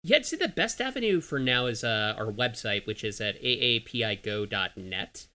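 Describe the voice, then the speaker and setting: A man answering interview questions in an upbeat, relaxed way. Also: mid-range voice, normal speed. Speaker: guest, gun group member.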